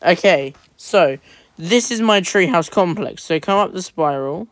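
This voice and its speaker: A man's voice: high voice, lively intonation, fairly bright tone, no echo. Upbeat man commenting on a building game. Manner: upbeat, chatty, quick asides. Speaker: host playing a building game with family.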